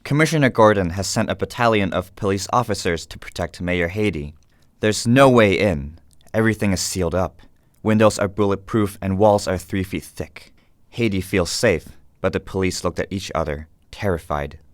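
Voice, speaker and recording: A man's voice: deep voice, normal speed, no room sound. A man narrating a radio drama from a script. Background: faint music.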